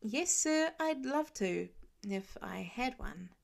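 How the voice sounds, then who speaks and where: A woman's voice: a little high, animated, takes it slowly, gravelly, heavily acted. A woman voicing a frightened, defensive teenage boy who stammers and snaps with bitter sarcasm. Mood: sarcastic. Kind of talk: audiobook fan reading.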